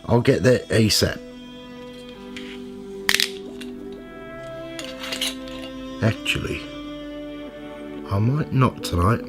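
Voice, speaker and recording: Brooding radio play narrator, played by a man: weary investigator, low, very unhurried, wry and creaky. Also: natural voice. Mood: wary, placating. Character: weary, reflective, nosy investigator. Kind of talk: scripted first-person radio drama narration. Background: music.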